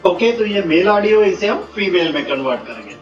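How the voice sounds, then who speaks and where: A man giving step-by-step software instructions, mixing in English technical words. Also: sits high, very animated, a little fast. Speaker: software tutorial presenter. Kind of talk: step-by-step screen tutorial. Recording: muffled, roomy, distant mic, faint music.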